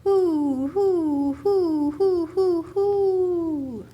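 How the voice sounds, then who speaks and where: A woman voicing the loud, drawn-out hooting calls of a great horned owl for a storybook. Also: very high-pitched, lo-fi sound, slight background noise, pitched above the performer's own voice, heavily acted. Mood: calling out. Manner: loud, carrying, wordless calling. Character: father calling like a great horned owl, and owl answering.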